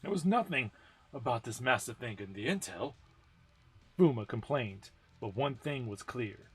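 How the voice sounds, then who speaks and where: A man's voice: medium pitch, expressive, a little slow, husky, faint music behind. Man reading a game story aloud. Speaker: narrator.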